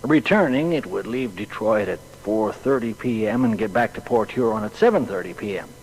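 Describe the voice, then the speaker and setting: A man's voice: on the low side, moderate pace, darkish tone, close to an everyday voice, rough recording. An actor playing a folksy, plain-spoken man who hesitantly recalls his boyhood friend in a dramatised biography. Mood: matter-of-fact recollection. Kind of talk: dramatised witness reminiscence.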